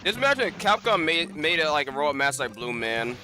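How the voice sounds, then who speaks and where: A man's voice: a little high, big pitch swings, fast talker, pushed and bright, soft background music. A man doing casual live-stream commentary over gameplay, rambling with lots of fillers and restarts. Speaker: gaming streamer.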